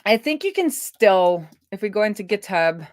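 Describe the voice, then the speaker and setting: A woman hosting a casual coding stream as a web developer, talking informally and thinking out loud as she works. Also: mid-range voice, very animated, normal speed, dry recording, clean studio sound.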